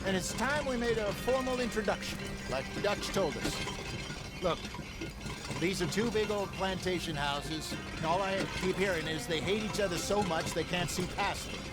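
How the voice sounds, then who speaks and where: An actor as a high-pitched, sly outlaw schemer. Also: male voice, normal speed, loud music behind the voice, close to an everyday voice, poor audio. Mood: scheming. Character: wily, genial outlaw schemer with sly charm. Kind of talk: cinematic western game acting.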